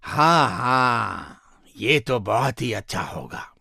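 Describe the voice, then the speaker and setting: A firm old grandfather from a moral tale , played by a man. His voice is creaky and pitched down, with measured, plain speech. The recording is close and dry. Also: sing-song, sharp tone, no echo, full character voice. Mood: firm, agreeable.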